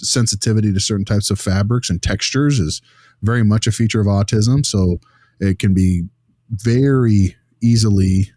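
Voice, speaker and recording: A man's voice: fairly low pitch, dark tone, no room sound, studio quality, natural voice. A man playing an earnest behavior consultant in an improvised role-play, reasoning aloud in a casual, rambling way. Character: earnest male behavior analyst consulting on a child's case.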